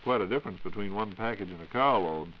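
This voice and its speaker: Quick, low-voiced American man, old radio host. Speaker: radio host asking questions. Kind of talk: 1940s radio interview, scripted. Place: radio studio. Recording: muffled 1940s radio recording with hiss.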